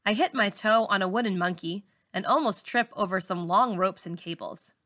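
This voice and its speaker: Woman, teacher reading aloud, bright tone, mid pitch, first-person children's story narration. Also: moderate pace.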